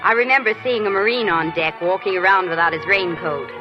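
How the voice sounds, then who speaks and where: An actress as a wry young Army nurse on old radio. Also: female voice, fairly low pitch, fairly quick, natural voice. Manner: dry, teasing wit. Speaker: Army nurse lieutenant and narrator. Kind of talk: 1940s radio drama acting. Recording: dull 1940s radio broadcast with faint music.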